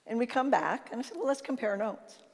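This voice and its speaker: An older woman with a naturally loud voice gives an informal conference talk, telling anecdotes and acting out bits of conversation. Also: on the high side, lively intonation, brisk. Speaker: conference speaker and leadership consultant. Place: large reverberant conference hall. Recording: reverberant hall sound.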